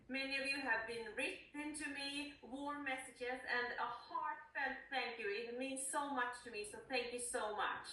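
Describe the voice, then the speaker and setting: A woman's voice: sits high, bright, forceful tone. A woman in her forties with a Swedish accent, introducing herself to colleagues as their new leader in an enthusiastic, earnest manner. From Gothenburg, Sweden. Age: 40s. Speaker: newly appointed sales leader. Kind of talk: self-introduction speech to colleagues. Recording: very echoey room, distant microphone.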